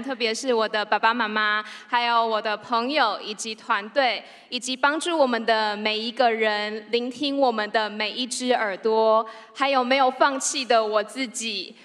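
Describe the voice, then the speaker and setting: A woman's voice: on the high side, sharp tone. A young woman with a Taiwanese accent giving an award acceptance speech on stage. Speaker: radio show host accepting an award. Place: on stage in a large theatre. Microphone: stand microphone. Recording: echoey large auditorium with long reverb.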